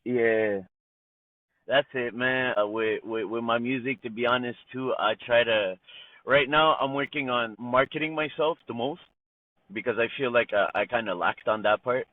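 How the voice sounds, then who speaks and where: A man's voice: medium pitch, moderate pace, no room sound. A man from Montreal, Canada, a rapper talking casually in an interview, heavy on slang and "you know". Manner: laid-back slang, frequent "you know", "man", "bro". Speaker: rapper guest. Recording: tinny phone-like call line.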